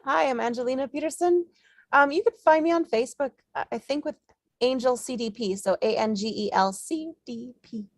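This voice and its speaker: Creaky-voiced woman, rambling casually. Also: high voice, brisk, dry recording, studio quality. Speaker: screenwriter. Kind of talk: casual chat.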